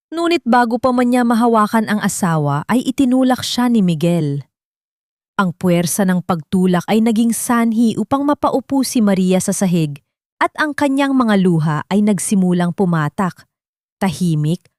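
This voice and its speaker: A woman reading a scripted dramatic story in a solemn, measured, literary manner. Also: mid-range voice, a little mellow, dry recording, clean studio sound. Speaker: audio drama narrator.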